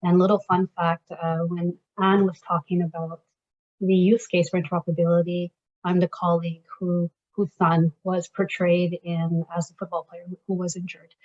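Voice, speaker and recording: A woman getting over a cold, slightly raspy, presenting slides at a workshop in a steady, explanatory way. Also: fairly low pitch, moderate pace, muffled recording. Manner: steady, explanatory, walks through a scenario.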